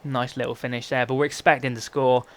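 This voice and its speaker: A young man talks quickly through his football game footage, often stopping to correct himself. His voice sounds phlegmy and congested from a cold. His pitch sits in an ordinary middle range. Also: level tone. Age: young adult. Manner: chatty, rambling, frequent self-corrections. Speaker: gaming YouTuber. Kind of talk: casual gameplay commentary.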